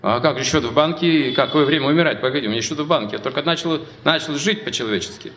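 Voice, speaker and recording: A man's voice: on the high side, fast, a bit bright, lightly acted. A man acting out a proud, indignant old man who refuses to die, as part of a sermon. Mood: indignant protest. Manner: protesting, self-important. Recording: echoey hall with long reverb.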